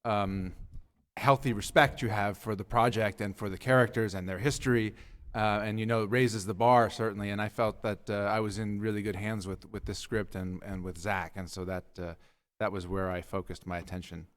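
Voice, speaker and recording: A male actor answering a press question in measured, hesitant speech with fillers. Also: on the low side, fairly even intonation, a bit bright.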